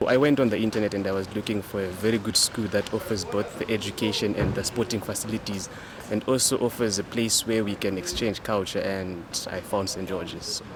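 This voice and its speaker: A man's voice: on the low side, steady tone, brisk. Zimbabwean teenage man, noisy outdoor interview. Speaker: new sixth-form student being interviewed. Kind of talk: informal interview answers. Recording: clear outdoor background noise.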